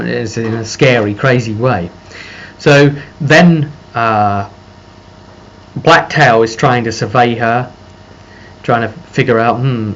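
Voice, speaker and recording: A man with a mid-pitched voice gives a casual, unscripted recap as a game master. He rambles along, piecing the story together as he talks. The recording is dull and lo-fi, with faint noise behind him. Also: a bit bright. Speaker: game master recounting a session.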